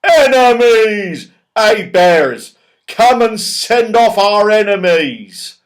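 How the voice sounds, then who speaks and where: A hostile old snowman from a children's storybook, acted out by a man. The voice is windy and loud, pushed up high, with slow, stretched-out calls that swoop in pitch. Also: lively intonation, heavily acted. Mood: alarmed, hostile. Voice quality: windy, drawn-out shouting.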